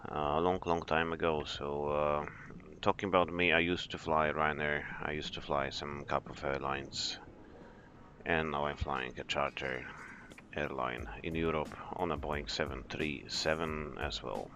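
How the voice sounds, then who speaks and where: A man, an airline captain, chatting in a relaxed, explanatory way as he streams a flight simulator session. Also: rumbling low, a little slow, background noise. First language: Polish. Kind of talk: live stream commentary.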